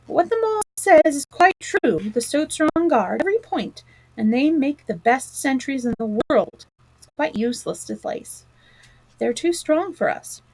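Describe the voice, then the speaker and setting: A woman's voice: sits high, very animated, normal speed, vocal fry, a little mellow, voice pushed up, exaggerated voice, rough recording. Woman voicing a stern old badger. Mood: reassuring. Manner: severe and commanding.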